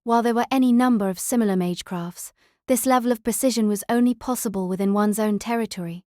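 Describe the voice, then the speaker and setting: A woman's voice: on the high side, quick pace, a little mellow, no room sound. Woman narrating a novel, close dry sound. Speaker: audiobook narrator. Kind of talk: scripted story narration.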